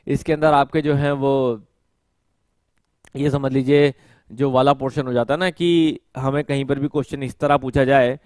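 Guy teaching on a whiteboard, headset mic, slightly high voice, dry close sound, repeats terms slow while writing. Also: a little mellow, dry recording. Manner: explains step by step, repeats key terms slowly while writing; mixes English technical terms into Hindi speech. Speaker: exam coaching teacher. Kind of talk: whiteboard lecture. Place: studio with whiteboard, indoors.

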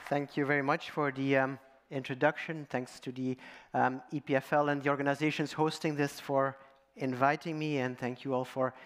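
A man's voice: medium pitch, flat delivery, moderate pace. Man giving a conference talk. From Belgium. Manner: storytelling, audience-addressing, with fillers. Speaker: guest speaker. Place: large dark auditorium.

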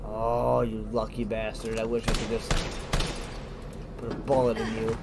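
A man's voice: lowish voice, monotone, unhurried, vocal fry, heavy background noise. A man giving casual let's play commentary, thinking aloud in short remarks. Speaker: let's player. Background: music and game sounds.

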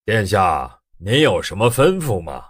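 A man's voice: fairly low pitch, very animated, a little slow, breathy edge, no echo, full character voice. A man playing a boastful, greedy, hearty fat nobleman in an audio drama, with a blustering drawl. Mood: deferential. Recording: music behind the voice.

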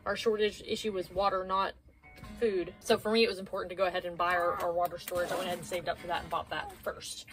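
A woman's voice: fairly low pitch, level tone, quick pace, a bit bright, faint music behind, poor audio. A woman in her thirties talking casually and practically as she organizes a home pantry, thinking aloud, recorded by a camera a few feet away. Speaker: prepper vlogger. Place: small home pantry with shelves, indoors. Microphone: camera on a low shelf, a few feet away.

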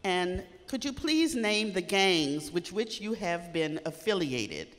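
An older woman moderates a panel, asking slow, clear questions in a lively, rising and falling voice. She holds a handheld mic in a community hall, and her voice carries a long echo. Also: fairly low pitch.

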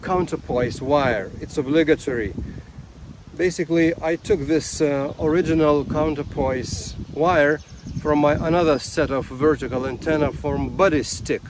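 Male vlogger, 50s, fairly high voice. Halting, casual field demo with clear outdoor noise. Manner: halting, repeats words. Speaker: ham radio vlogger. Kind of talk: casual demonstration to camera. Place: outdoors in a grassy field beside a van. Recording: noisy outdoor field recording.

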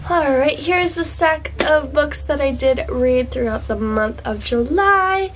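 A woman's voice: high voice, measured pace, slight background noise. A young woman book vlogger chatting to camera in a dim indoor room, congested from a cold, gushing and chatty with fillers. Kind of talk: casual chat to camera.

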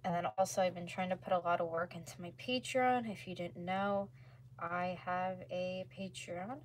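A woman in her twenties with a slightly hoarse voice, chatting casually as she livestreams crafts from home. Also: fairly low pitch, fairly even intonation, normal speed. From North America. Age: 20s. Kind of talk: casual chat while painting. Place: small room at home, indoors. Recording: clearly noisy home livestream recording.

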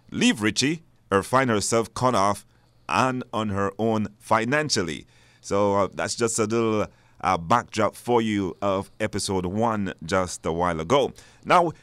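A man hosts live radio in a fairly low voice. The studio sound is dry, and he hesitates and repeats words to stress them. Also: a bit bright, no echo. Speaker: radio talk show host.

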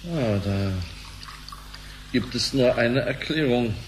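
A polite, earnest businessman in a radio drama played by a man. His medium-pitched voice is formal and hesitant, with a cautious, aggrieved air. Some ambient noise sits behind it. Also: expressive, takes it slowly. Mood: careful. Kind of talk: German radio play acting.

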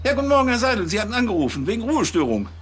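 Affable police officer, middle-aged, officious, fussy, animated pitch, TV crime comedy. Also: male voice, rapid-fire, soft background music. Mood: chatty, officious.

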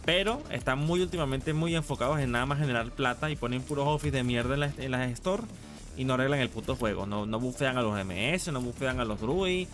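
A man's voice: mid-range voice, fairly quick, fairly bright tone. A man chatting casually on a live game stream, slangy and sweary as he gripes like a regular player. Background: music.

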